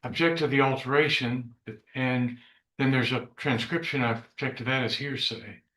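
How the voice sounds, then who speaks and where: A male defense lawyer addresses the court in a middle-pitched voice. His phrasing is hesitant and fragmented as he argues formally from his side. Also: normal speed, bright, forceful tone, poor audio. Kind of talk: courtroom objections.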